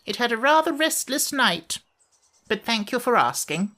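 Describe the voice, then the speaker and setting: A woman's voice: on the high side, very animated, normal speed, sharp tone, pitched above the performer's own voice. A woman narrator puts on a small, ancient sand fairy from a storybook. The creature sounds peevish and haughty, grumbling away in a raised, swooping voice.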